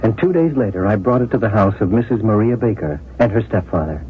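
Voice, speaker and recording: An actor playing a polite, earnest nephew in a 1970s radio drama, speaking and narrating in a courteous, measured way. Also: male voice, fairly low pitch, animated, natural voice. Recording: dull, faintly hissy 1970s radio recording.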